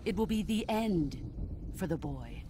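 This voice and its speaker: An actress playing a solemn, composed veteran soldier in a dramatic video game. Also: female voice, lowish voice, big pitch swings, a little slow, noisy background. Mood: grave, assured.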